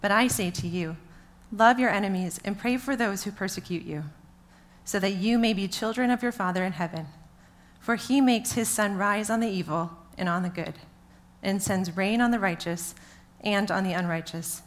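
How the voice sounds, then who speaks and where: Medium-pitched woman reading scripture. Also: moderate pace. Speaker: scripture reader. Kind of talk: read-aloud Bible passage.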